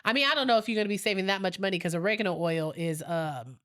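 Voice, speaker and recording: A woman's voice: mid-range voice, fast talker. A woman co-hosting a podcast in casual chat, rambling and laughing easily, her nose a little stuffy. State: slightly stuffy from a sinus infection. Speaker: podcast co-host.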